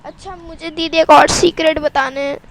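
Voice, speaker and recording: Woman playing a tearful little girl, pleading, stammering, very high voice. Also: fairly even intonation, moderate pace, natural voice. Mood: sad. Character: small scared girl, sulky and tearful.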